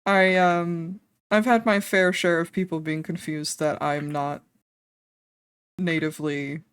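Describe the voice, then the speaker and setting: A young woman with a Dutch accent talks casually to her stream, candid and quick to laugh, with the odd swear word. Her voice sits a little low for a woman. The sound is dry and close, with no room echo. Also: fairly even intonation, smooth. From Netherlands. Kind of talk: casual stream chat while drawing.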